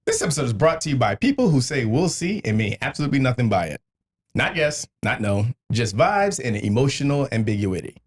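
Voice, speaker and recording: Male American podcast host, mid-pitched and highly animated, wry, sweary, emphatic comic delivery. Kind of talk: casual comedic podcast banter and monologue.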